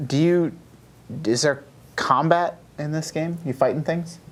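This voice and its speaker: A man's voice: a little high, measured pace. A man in casual panel chat, talking in a puzzled way as he repeats and clarifies his questions. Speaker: podcast panelist.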